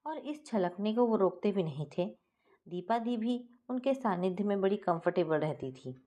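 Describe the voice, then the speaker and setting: A woman's voice: mid-range voice, darkish tone. A woman reading a scripted first-person family story as its narrator.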